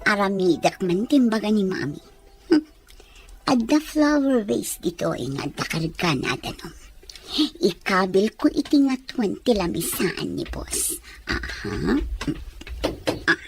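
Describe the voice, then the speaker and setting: An actress playing a petulant, scheming young daughter in an Ilocano radio drama. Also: female voice, medium pitch, expressive, unhurried, pauses often, a little mellow, a little hiss or hum. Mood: sly. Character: scheming young woman, petulant daughter obeying her mother.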